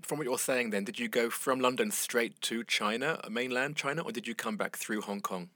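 A man hosting a podcast interview, asking relaxed follow-up questions. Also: mid-range voice, normal speed, dry recording. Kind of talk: conversational interview.

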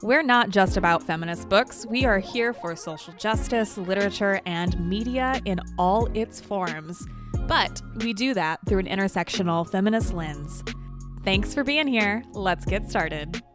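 A woman's voice: medium pitch, animated, measured pace. A woman reading an upbeat scripted podcast intro. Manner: upbeat, announcing. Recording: intro with music underneath.

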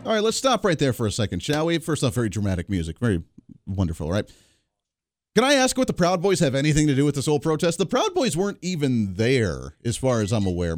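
A man's voice: medium pitch, a little mellow, no echo, studio quality. A man hosting a political talk radio show, giving opinionated and sarcastic commentary between played clips. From Kansas area, United States. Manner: opinionated, sarcastic, rapid asides.

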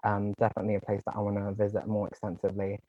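A man's voice: on the low side, completely flat delivery. A young man, a guest on an interview, talking earnestly and casually with many fillers. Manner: earnest, talks in quick runs with many "like" fillers. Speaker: guest, online content creator. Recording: slightly dull, muffled sound.

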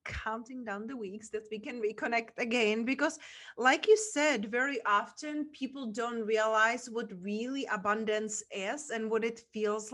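A woman's voice: a little high, a bit bright. Czech-accented woman, earnest and effusive. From Czech Republic. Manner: earnest, effusive, many "like" fillers. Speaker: podcast guest. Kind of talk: casual interview chat.

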